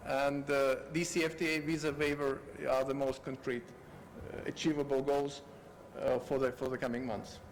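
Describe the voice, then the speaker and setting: A man, a foreign service official, speaking haltingly at a conference panel in English. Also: mid-range voice, little pitch movement, slight background noise. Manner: halting, many fillers and restarts.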